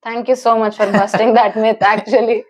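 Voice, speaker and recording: Attentive woman interviewer, slightly high. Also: flat delivery, brisk. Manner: attentive, affirming listener. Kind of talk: interview chat.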